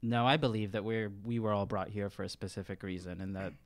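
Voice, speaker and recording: A man's voice: fairly low pitch, fairly even intonation, fast, no echo. Man chatting, dry sound. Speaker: tabletop game player. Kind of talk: casual in-character banter.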